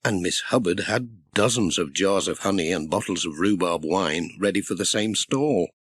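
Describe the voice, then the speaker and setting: A man narrating a children's story in an expressive, scripted storytelling manner. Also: sits low, moderate pace, husky, no room sound, clean studio sound. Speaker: audiobook narrator.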